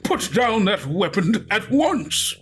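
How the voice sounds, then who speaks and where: Eerie game principal, male performer: pompous, officious, formal, with quiet menace and an unhurried delivery. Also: high voice, very animated, a little hiss or hum, pitched above the performer's own voice, full character voice. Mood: stern. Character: formal, menacing school principal of a strange academy.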